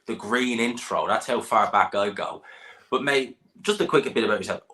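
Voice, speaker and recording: A man with a fairly low but bright, forceful voice hosts a casual boxing live stream. He teases his guest, laughs a lot and keeps the chat moving. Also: moderate pace. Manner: jokey, banter-heavy, laughs often. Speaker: boxing channel host.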